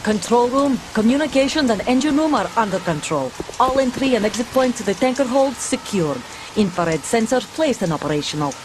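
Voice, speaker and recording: A woman's voice: a little high, lively intonation, a little fast, background noise, natural voice. An actress playing a fierce young female soldier, defiant and taunting. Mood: reporting. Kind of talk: video game voice acting.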